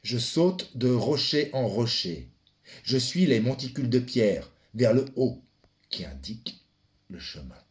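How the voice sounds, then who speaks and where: A man performing a theatrical monologue as a brooding son, the ferryman of the dead, addressing his father in a deliberate, dramatic, incantatory manner. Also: mid-range voice, big pitch swings. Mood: solemn, insistent. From France. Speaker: stage performer.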